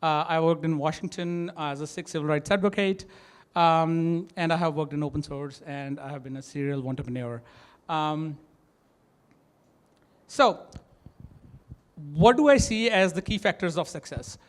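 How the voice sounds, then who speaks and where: A man with a thick Indian accent speaks to a conference audience from the stage. His voice sits fairly high for a man. He hesitates often, with plenty of ums, restarts and "right?" checks as he explains. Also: moderate pace, long pauses. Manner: hesitant, many fillers, frequent "right?" tags. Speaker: startup co-founder giving a conference talk. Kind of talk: live conference presentation.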